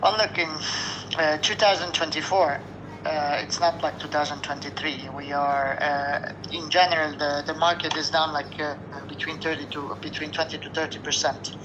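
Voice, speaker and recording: A Lebanese man with a fairly high voice answers in earnest, weary, halting phrases. His line sounds dull and lo-fi. A steady noise hums underneath. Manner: earnest, weary, speaks in short restarting phrases. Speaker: restaurant owner interviewed. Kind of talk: remote news interview.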